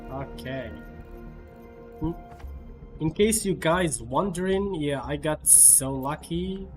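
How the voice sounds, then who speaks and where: A man giving casual live gameplay commentary as a streamer, often repeating words in a halting way. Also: a little high, lively intonation, darkish tone. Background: faint game music.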